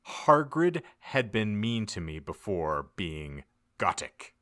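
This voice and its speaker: Guy reading a story out loud for a podcast, slow, super dramatic, voice goes all over the place. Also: lowish voice, sing-song, dry recording, clean studio sound. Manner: theatrical, deliberate delivery. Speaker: podcast host reading fan fiction aloud. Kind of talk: dramatic comic audiobook narration.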